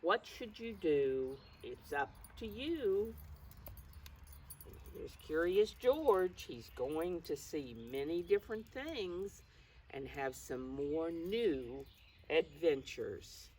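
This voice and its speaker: An older woman reading aloud to young children, pausing to explain things in simple words. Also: low-pitched, lively intonation, darkish tone. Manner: addresses children simply, calls self by name in third person. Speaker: preschool storytime reader. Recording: distant mic, faint background noise.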